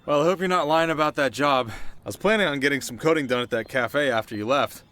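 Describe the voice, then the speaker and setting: A man's voice: a little high, quick pace, sharp tone. A man playing a cocky, teasing delinquent teenage boy in an ASMR roleplay, talking casually and wryly straight to the listener. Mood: teasing, skeptical. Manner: casual, wry, sarcastic, sometimes flustered. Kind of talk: ASMR audio roleplay, one-sided dialogue to listener. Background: music.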